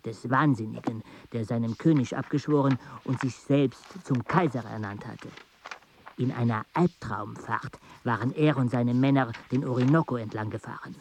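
A man narrating a radio play in a measured, even storytelling manner. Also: mid-range voice, vocal fry, slight background noise. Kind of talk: scripted narration.

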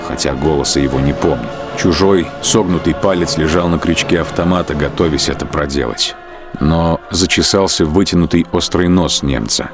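A man giving a measured, dramatic literary narration of a war story for an audio drama. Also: a bass voice.

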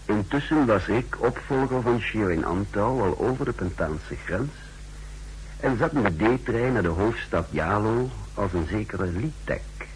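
Deep-voiced, calculating male spy. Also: animated, a little slow. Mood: detached, scornful. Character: secretive, scornful male spy recounting his mission. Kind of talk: 1960s radio drama monologue and narration. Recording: noisy, humming 1960s radio recording.

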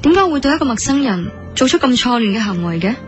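A woman's voice: fairly high pitch, big pitch swings, fast talker. A proud, lovesick café woman. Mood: troubled, reflective. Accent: Hong Kong Cantonese. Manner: earnest, indignant, introspective. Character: prickly, proud young café woman, lovesick narrator of her story. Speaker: lead actress and narrator. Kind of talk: radio drama acting with narrated inner monologue. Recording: studio drama with music underneath.

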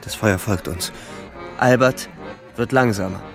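Radio play lead: young soldier narrator, low voice, terse first-person delivery over music. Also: male voice, measured pace, vocal fry. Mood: tense, grave. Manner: terse, present-tense storytelling. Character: young male German soldier narrating front-line ordeal.

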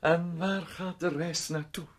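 A man's voice: on the high side, fairly even intonation, unhurried. An actor playing a bossy, prying, needling man in a radio play, with an imperious, theatrical manner. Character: bossy, prying, needling man who orders another around.

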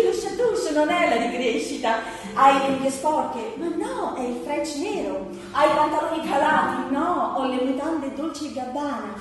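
A woman playing a frantic, forcedly cheerful jobless daughter in a surreal satirical stage show, agitated and repetitive. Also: sits high. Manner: rapid listing, repetitive, agitated. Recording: distant echoey hall, faint noise.

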